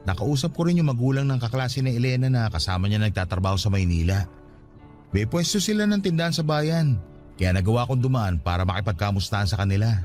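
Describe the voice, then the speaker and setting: Actor as an earnest young Filipino man, lively intonation. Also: mid-range voice, fast, soft background music, natural voice. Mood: thoughtful. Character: earnest, responsible young man worried about his younger sister. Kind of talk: Filipino radio drama dialogue.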